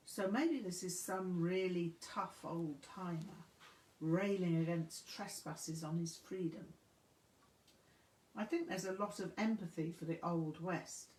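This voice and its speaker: A woman's voice: fairly low pitch, darkish tone. A woman reading a memoir aloud as scripted narration, voicing the dialogue within it. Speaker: audiobook reader. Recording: roomy, echoing room sound.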